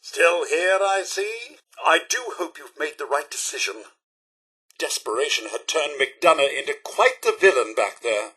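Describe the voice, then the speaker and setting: Video game robot butler, British accent, prim and polite, dry wit, swooping intonation, raspy edge. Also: male voice, fairly high pitch, expressive, a little slow, bright, forceful tone, heavily acted. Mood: disapproving, then regretful. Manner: prim, formal, theatrical, sardonic. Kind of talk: video game companion voice acting.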